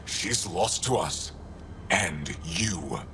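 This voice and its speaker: An actor playing a gruff, distrustful male alien resistance commander in a video game, curt and stern. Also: low voice, expressive, drawn-out words, background noise, exaggerated voice. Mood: grim, dismissive. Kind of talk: video game dialogue acting.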